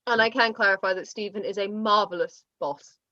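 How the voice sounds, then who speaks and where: A woman hosting an online book event, teasing and lively as she reads out audience questions over a video call. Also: medium pitch, a little fast, dry recording, muffled recording. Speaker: interviewer and host.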